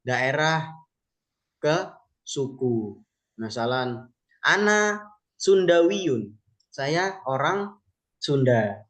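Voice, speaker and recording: A man teaching Arabic vocabulary in an online lesson, explaining slowly and patiently with many pauses and fillers. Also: mid-range voice, very animated, fairly bright tone.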